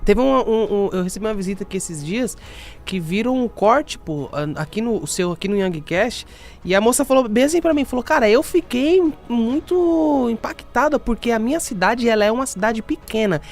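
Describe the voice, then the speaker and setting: A man's voice: very high voice, lively intonation, normal speed, creaky, faint music behind. A man hosting a podcast in casual chat, rambling with many restarts and echoing his guest. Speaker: podcast host.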